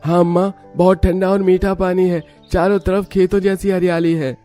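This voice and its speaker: A man voicing an earnest, excitable poor village brother in a cartoon story, emphatic and simple. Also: high voice, moderate pace, soft background music, pitched above the performer's own voice, close to an everyday voice. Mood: overjoyed. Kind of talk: Hindi cartoon story acting.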